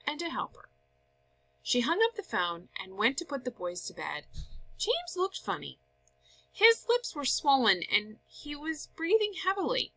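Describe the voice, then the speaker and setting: Female storyteller, bright tone, very lively pitch, expressive read-aloud delivery with dramatic emphasis. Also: slightly rough, poor audio. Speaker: story reader. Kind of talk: animated scripted read-aloud narration of a story.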